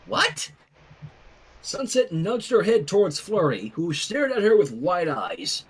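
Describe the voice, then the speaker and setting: A fan fiction reader narrating a story in an animated style with casual asides. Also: mid-range voice, unhurried, slightly rough.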